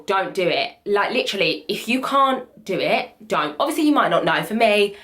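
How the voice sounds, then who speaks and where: A woman's voice: lowish voice, a bit bright. Candid young woman vlogger. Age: 20s. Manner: chatty, candid, frequent "like". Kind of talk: candid talk to camera. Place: small bedroom indoors. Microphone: camera at desk distance.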